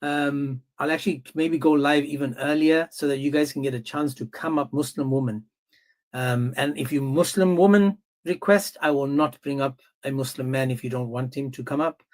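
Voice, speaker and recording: A man's voice: medium pitch, normal speed, darkish tone. Insistent man hosting a heated debate. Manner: insistent, interrupting, repeats himself. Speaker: stream host. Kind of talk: casual live-stream debate.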